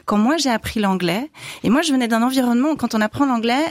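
A woman taking part as a guest on a radio discussion, speaking in a thoughtful, expressive way. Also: a little high, fast, no room sound, studio quality.

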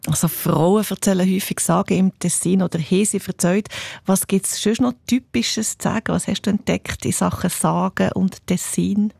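Woman, Swiss German accent, radio interviewer, gravelly, dry studio. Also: mid-range voice, steady tone, normal speed, a little mellow, no echo. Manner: questioning, conversational.

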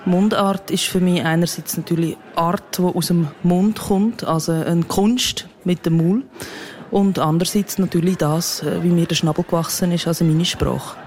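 A woman's voice: steady tone, normal speed, darkish tone. A woman with a Swiss German accent performing slam poetry live on stage, playful and punning, her voice leaping up and down. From Switzerland. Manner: playful, word-punning, swooping up and down in pitch. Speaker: slam poet. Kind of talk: live spoken-word performance. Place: stage before a live audience. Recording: roomy live stage sound.

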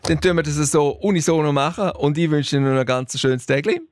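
Swiss German radio host, dry close sound. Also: male voice, fairly high pitch, no room sound. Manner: warm, teasing, quick laughs. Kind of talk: friendly radio call-in chat.

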